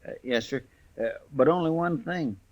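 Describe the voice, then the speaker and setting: An American actor with a rural drawl playing an earnest, simple-hearted marshal's helper in an old-time radio western. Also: male voice, a little high, moderate pace, muffled recording, close to an everyday voice. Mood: eager, earnest. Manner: folksy, rambling, deferential.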